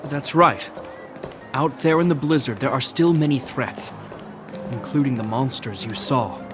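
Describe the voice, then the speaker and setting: An actor playing a formal, dutiful captain of a fortress city in a video game, measured and earnest. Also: male voice, mid-range voice, close to an everyday voice. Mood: grave, formal. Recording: music behind the voice.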